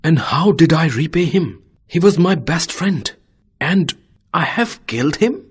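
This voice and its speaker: Woman voicing a slow, husky, dramatic storybook king. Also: low-pitched, expressive, heavily acted. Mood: remorseful. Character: proud, hot-tempered king scolding his hawk, later remorseful. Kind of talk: children's storybook character voice.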